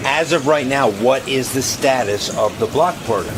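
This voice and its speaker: A man asking direct questions as an interviewer. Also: moderate pace. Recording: clearly noisy, ambient rumble.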